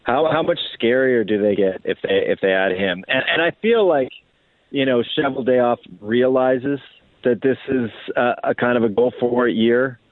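A man's voice: medium pitch, moderate pace, dry recording. A man talking sports analysis as a radio guest over a phone line, often repeating and restarting his phrases. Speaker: hockey writer, interview guest. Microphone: phone call. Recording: tinny, narrow phone-line sound.

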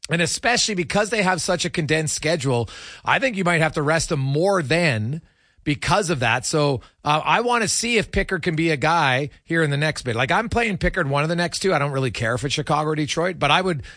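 A man's voice: fairly high pitch, expressive, fast, fairly bright tone, dry recording, studio quality. A man hosting a sports talk show, speaking casually and analytically. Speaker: sports radio host.

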